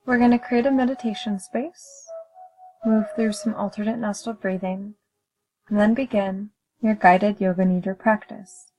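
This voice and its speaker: A woman leading a guided meditation in a calm, soothing, measured manner with gentle pauses. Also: mid-range voice, soft background music, poor audio.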